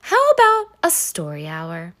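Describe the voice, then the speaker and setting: A woman voicing a gentle lady schoolteacher with a sweet way of speaking. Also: lowish voice, wildly swooping pitch, measured pace, exaggerated voice. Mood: gently pleased. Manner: sweet-voiced.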